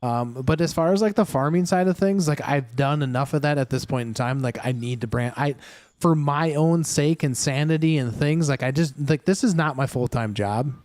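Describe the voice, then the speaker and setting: A man's voice: on the high side, normal speed, a little mellow, no room sound. A man hosting a casual gaming livestream, talking to his viewers in a rambling way with many fillers and restarts. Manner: chatty, talks straight to chat viewers.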